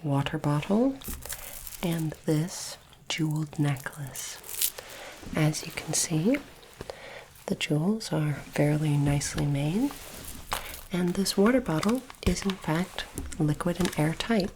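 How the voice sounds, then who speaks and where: Woman as a low, whispering, formal alien appraiser. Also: noisy background. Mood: calm, approving. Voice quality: soft, breathy whisper. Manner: slow, measured, formal, precise diction. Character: polite, formal alien artifact appraiser training a human recruit. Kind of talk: whispered ASMR roleplay.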